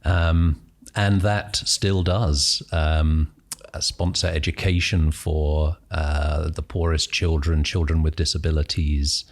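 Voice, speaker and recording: A middle-aged man from Northern Ireland with a British accent, a podcast guest who speaks thoughtfully with frequent pauses and restarts. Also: rumbling low, flat delivery. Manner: thoughtful, hesitant, many ums and restarts. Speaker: guest, impact investor. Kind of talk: podcast interview.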